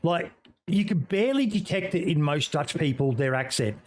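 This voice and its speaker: A man's voice: on the high side, lively intonation, moderate pace. A man hosting a podcast in casual chat, blunt and inquisitive. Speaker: podcast host.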